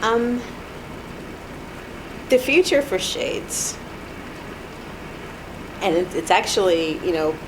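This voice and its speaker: A woman's voice: medium pitch, expressive, slow pace, long pauses, noisy background. A woman in her thirties being interviewed at home, speaking hesitantly with many fillers and restarts. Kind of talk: reflective interview. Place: apartment.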